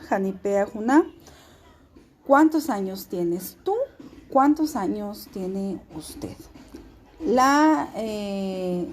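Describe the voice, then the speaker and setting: A woman teacher, giving a recorded class lesson in a clear, instructive way and repeating key words slowly. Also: medium pitch, a little mellow, a little hiss or hum.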